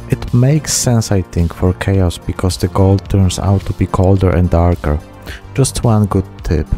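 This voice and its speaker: A man in his thirties hosts a hobby painting vlog. His voice sits fairly low and has a very mellow, soft-edged tone. Faint music plays behind him. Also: a little slow. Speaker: hobby channel host. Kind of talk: miniature painting vlog narration.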